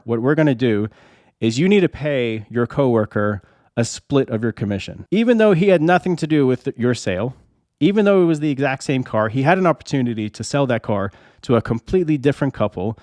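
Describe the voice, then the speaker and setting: A man's voice: medium pitch, darkish tone, no echo, clean studio sound. A man who is feeling under the weather, talking in a casual, chatty way as a channel host. He repeats himself and builds a long hypothetical example, with rhetorical questions along the way. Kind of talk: casual explainer to camera.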